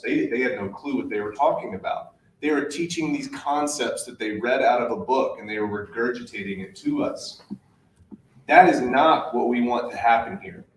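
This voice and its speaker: A man presenting a live workshop to a room, frank and energetic, asking rhetorical questions. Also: medium pitch. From Virginia, US. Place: meeting room at a live event. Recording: echoey room from a distance, faint hiss.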